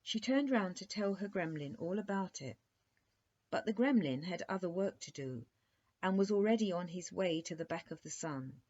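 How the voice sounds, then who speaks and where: A woman with a dark, soft-edged, husky voice reads a bedtime tale for young children. She narrates expressively, as a storyteller does. The recording is somewhat muffled and lo-fi. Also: fairly low pitch, animated, normal speed. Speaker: storyteller reading aloud.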